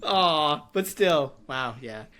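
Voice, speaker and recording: A man with a bright, fairly high voice hosts a casual writing podcast. He talks informally and effusively, keeping the delivery loose and conversational. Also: lively intonation, slow, smooth. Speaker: podcast host and writer.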